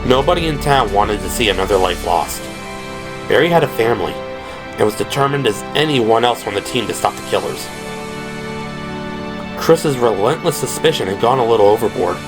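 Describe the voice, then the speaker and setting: A man narrates an audio drama in a medium-pitched voice. The delivery is scripted, dramatic prose reading, with music clearly playing behind him. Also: animated, moderate pace, pauses often. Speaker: narrator and performer.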